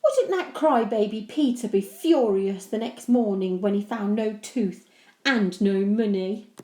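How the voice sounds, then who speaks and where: A woman's voice: mid-range voice, unhurried, darkish tone, reverberant, pitched above the performer's own voice, lightly acted. A woman voicing a cheeky, scheming young boy in an exclamatory storybook manner. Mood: gloating relief. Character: scheming, indignant young boy, horrid older brother.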